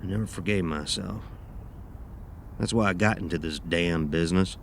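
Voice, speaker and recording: An actor playing a gruff, blunt, grieving taxidermist in an audio horror drama, talking in a curt, folksy way. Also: male voice, sits low, moderate pace, slight background noise. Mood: subdued, wistful.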